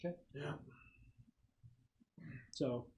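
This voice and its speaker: A man's voice: drawn-out words, a loud crowd. A man casually narrating as game master of a tabletop game, joking and loose in his talk.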